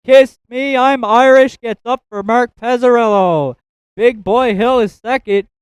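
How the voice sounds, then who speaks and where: Track announcer: man, very high and crystal-clear voice, rapid rhythmic race call. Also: no room sound. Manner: rapid, rhythmic listing of positions and times. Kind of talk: live harness race call.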